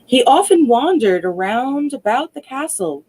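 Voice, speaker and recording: A woman narrating a fairy tale as a storyteller, deliberate and dramatic. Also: high-pitched, very animated, darkish tone, breathy edge, poor audio.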